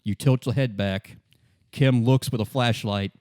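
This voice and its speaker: A man running a tabletop roleplay as game master, improvising the narration and haltingly thinking aloud. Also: mid-range voice, steady tone, darkish tone, dry recording, clean studio sound.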